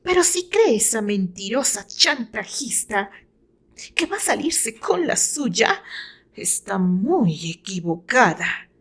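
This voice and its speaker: A woman's voice: medium pitch, sing-song, slow, a bit bright, full character voice. A woman voicing a haughty, jealous, scheming glamorous villainess in a radio-novela reading, with scornful, dramatic delivery. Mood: defiant anger.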